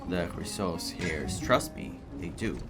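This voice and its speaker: A man voicing a burly, earnest mountain blacksmith from a fantasy game, solemn and halting, with inverted word order. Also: deep voice, animated, voice pushed down. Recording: game music under the voice.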